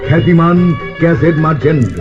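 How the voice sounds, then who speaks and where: A man's voice: fairly high pitch, a little fast. A man narrating a dramatic, emphatic scripted film promo, played back from a cassette. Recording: dull cassette playback through speakers. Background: loud music.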